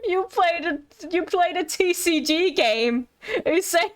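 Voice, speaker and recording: A woman's voice: very high-pitched, animated, measured pace. A woman doing casual live game stream commentary, playful and rambling with mock-indignant asides. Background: game music.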